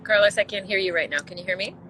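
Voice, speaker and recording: A woman's voice: medium pitch, fast, bright, forceful tone, slight background noise, low-quality recording. A woman hosting a podcast, asking her guest questions with short encouraging replies. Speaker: podcast host. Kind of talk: interview questions.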